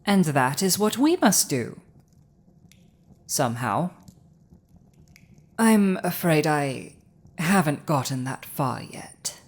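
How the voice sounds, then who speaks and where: A proud, villainous female dragon played by a woman speaks in a fairly low voice at a very slow pace. The delivery is languid and theatrical, full of dramatic pauses. She sounds haughty, dominant and teasing, in the manner of an intimate fantasy audio drama. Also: sing-song, exaggerated voice. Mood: thoughtful, scheming.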